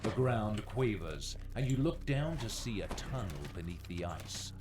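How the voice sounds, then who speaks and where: A man's voice: on the low side, very animated, unhurried, noisy background. A man playing a dungeon master, narrating a fantasy game adventure in a dramatic, portentous storytelling manner. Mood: ominous, suspenseful.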